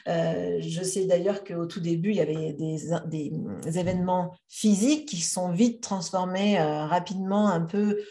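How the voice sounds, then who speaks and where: Mellow-voiced woman hosting a podcast. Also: lowish voice, fairly even intonation. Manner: chatty, quick with questions, many fillers. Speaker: podcast host. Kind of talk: casual interview talk.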